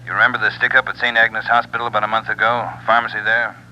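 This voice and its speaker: A fast-talking, businesslike police officer phoning in a tip in an old radio drama. His lowish voice is sharp and tense. The broadcast sound is dull with faint hiss. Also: little pitch movement. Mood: brisk. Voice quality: brisk, businesslike. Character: brisk police desk officer on the phone. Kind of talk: 1940s radio drama acting.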